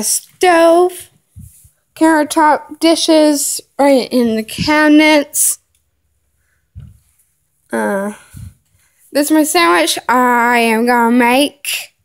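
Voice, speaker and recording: A high-pitched woman speaks very slowly, pausing often and filling the gaps with "uh" and "um" as she names things one by one. She is filming a home tour on a handheld phone while walking through the rooms of a house. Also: gravelly. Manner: halting, many fillers, lists items one by one. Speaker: vlogger giving a home tour. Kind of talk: casual home tour narration. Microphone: handheld phone camera, filming while walking.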